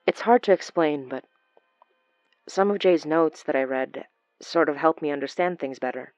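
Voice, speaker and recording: Young woman acted by a woman, audio drama, lowish voice, wry, gentle, conversational, dry sound, faint music. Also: normal speed, no echo, highs cut off, natural voice. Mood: thoughtful. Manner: playful, thoughtful, conversational.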